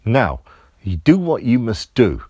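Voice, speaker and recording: A man voicing annoyed parents who loudly scold their son at bedtime. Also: medium pitch, huge pitch swings, a little slow, dry recording, clean studio sound. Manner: stern, loud, fed up.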